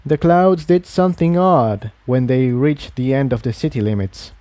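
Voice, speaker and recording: A man's voice: mid-range voice, normal speed, dark tone, dry recording. A man reading a fantasy adventure story aloud as a scripted narrator. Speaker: narrator, fan fiction reader.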